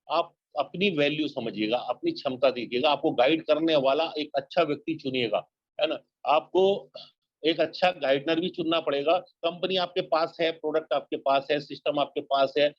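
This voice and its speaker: A man's voice: medium pitch, dry recording. Earnest man giving a motivational talk. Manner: earnest, exhorting, repeats points. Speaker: network marketing speaker. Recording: dull lo-fi, dry sound.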